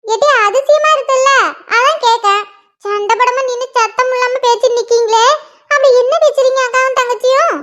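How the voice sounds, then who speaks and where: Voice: normal speed, a bit bright. A performer voicing a nagging mother who scolds her two daughters over housework, on a dull lo-fi recording. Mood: mocking. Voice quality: shrill, nagging. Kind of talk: one-person comedy skit.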